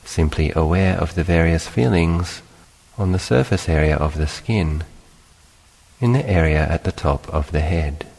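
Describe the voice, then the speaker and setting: Male meditation teacher, extremely low voice, calm guided delivery with long pauses, heavy reverberant hall sound. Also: gravelly.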